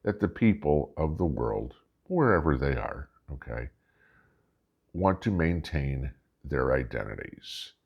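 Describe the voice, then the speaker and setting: American male vlog host, folksy and emphatic political monologue, husky voice with big pitch swings. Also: very animated, dull audio. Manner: folksy, emphatic, halting, with rhetorical questions. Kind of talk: casual political commentary monologue.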